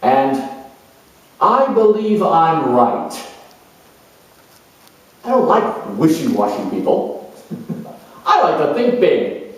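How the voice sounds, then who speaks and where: A man performs a charming, self-assured male politician musing aloud in a character monologue. His delivery is slow and halting, with emphatic swells. The recording is very echoey, with the voice set well back from the microphone. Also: fairly high pitch, very animated, heavily acted. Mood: boastful, self-assured. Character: proud, charming, opinionated male career politician turned world judge. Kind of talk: dramatized first-person character monologue. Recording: roomy echo, distant microphone.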